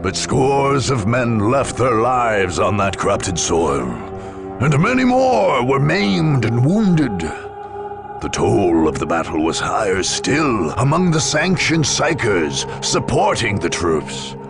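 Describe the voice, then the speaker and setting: A man narrates a video game saga in a solemn, formal register. His pitch moves widely and dramatically, with a gravelly edge to the voice. Music plays behind him. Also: big pitch swings, takes it slowly. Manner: solemn, formal storytelling delivery.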